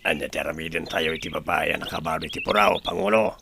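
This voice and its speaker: A man playing an eager, slow-witted rebel henchman in a radio drama, blustering and deferential to his chief. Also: a bass voice, gravelly, sharp tone, slight background noise, full character voice. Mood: bumbling, deferential.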